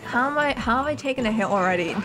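A chatty young streamer from northern England, her accent softened, talks in a fairly high voice that leaps up and down. She giggles and squeals as she plays. Game music runs clearly underneath her voice. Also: quick pace. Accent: Northern English, softened. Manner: chatty and giggly, reads chat aloud, excited squeals. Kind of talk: casual live-stream chat while gaming.

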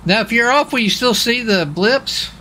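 Guy joking around in a game roleplay, bright forceful voice, some noise behind him. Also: very high-pitched, expressive, normal speed, low-quality recording. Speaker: roleplay gamer playing a lawman. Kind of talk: casual in-character game banter.

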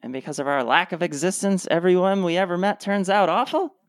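Woman playing a deadpan, sarcastic reluctant hero. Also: lowish voice, a little fast, no echo, clean studio sound, lightly acted. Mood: mock dismay. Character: sardonic, indifferent reluctant holiday hero. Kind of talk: comic radio play acting.

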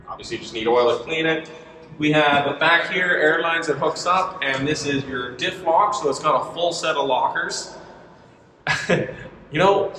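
A man in his thirties giving a relaxed, casual walkthrough of heavy truck axle parts, chuckling at himself and correcting his words as he goes. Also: on the high side. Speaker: vlogger touring a truck axle factory. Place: large glass-walled indoor lobby. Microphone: camera mic from a few feet away. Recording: echoey hall, distant mic, faint noise.

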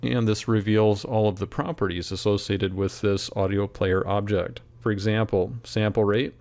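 A man narrating a software tutorial as an instructor, in a measured, step-by-step way. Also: lowish voice, fairly even intonation, no echo. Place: close, dry voice-over for screen recording.

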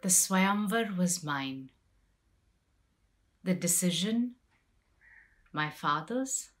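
A middle-aged woman voicing a wronged, bitter and sorrowful mythical queen in a measured, deliberate dramatic poetry recitation with hushed phrases. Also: lowish voice. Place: small plain room indoors.

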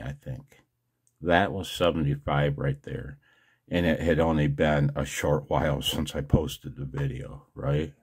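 A man's voice: sits low, darkish tone, husky. A man talking casually and self-deprecatingly to his viewers in a rambling monologue, as host of a video channel. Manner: self-deprecating, chuckles often.

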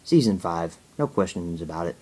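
A young man answering viewer questions in a casual home video, talking haltingly with a stutter, many fillers and restarts. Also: deep voice, expressive, a little mellow, slightly rough. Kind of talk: casual Q&A talk to camera.

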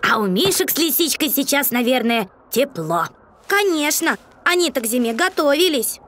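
A woman's voice: sits high, expressive, normal speed, fairly bright tone, slight background noise. A woman voicing a hapless, plaintive young female raccoon in a children's cartoon, drawing out her words. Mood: miserable, wistful.

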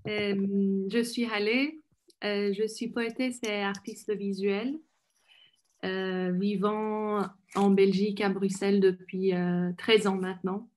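A woman from Iran introduces herself at an unhurried pace, with frequent pauses and fillers. She speaks into a laptop webcam from a room at home. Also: mid-range voice, little pitch movement, darkish tone. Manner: hesitant, many pauses and fillers. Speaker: poet and visual artist. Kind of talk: introducing herself on a video call. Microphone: laptop webcam, video call.

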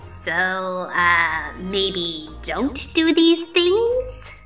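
A woman's voice: medium pitch, very animated, extremely slow, fairly bright tone, recorded from a distance, faint music behind, heavily acted. A woman voicing a boastful, flustered ghostly pony magician in a cartoon comic dub, stammering and exclaiming theatrically. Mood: wry, hesitant. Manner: theatrical, stammering, exclaiming, refers to self in third person.

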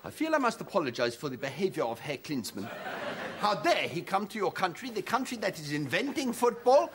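An actor playing an earnest, guilt-ridden young German man with a German accent, who talks in stiff, over-formal phrases and bursts into shouted rants. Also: sits high, sing-song, fairly quick. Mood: polite. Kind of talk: TV sketch comedy acting.

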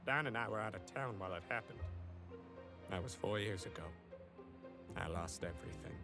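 An actor playing a gruff, burdened man from a ruined town, speaking gravely in a game parody. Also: fairly low pitch, brisk, lightly acted. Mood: somber. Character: gruff, burdened man telling of his destroyed hometown. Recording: music behind the voice.

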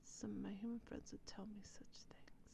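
A woman voicing an anxious, guarded female vampire in a whispered ASMR roleplay, breathy and hesitant. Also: mid-range voice, little pitch movement, dark tone, close to an everyday voice. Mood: relieved, soft. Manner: breathy, hesitant, slow and halting.

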